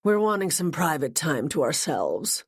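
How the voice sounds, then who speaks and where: A guarded, possessive woman, read by a woman narrator in a low, even voice. She keeps her lines cool and clipped, giving nothing away in a storybook reading. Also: normal speed, husky, no room sound, studio quality, exaggerated voice.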